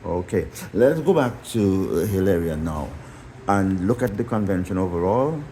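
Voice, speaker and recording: A man's voice: low-pitched, animated, moderate pace, warm tone, slight background noise. A man interviewing a couple, who often restarts his questions and repeats words. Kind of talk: news interview.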